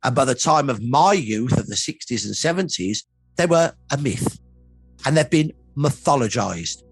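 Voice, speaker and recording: A man in his sixties speaking as a history expert in a documentary interview, explaining emphatically with rhetorical questions and deliberate lists. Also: medium pitch. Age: 60s.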